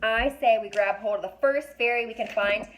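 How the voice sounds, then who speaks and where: A woman reading the lines of a sarcastic, worrying young boy in a storybook, with a deadpan grumble. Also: a little high, brisk, lightly acted.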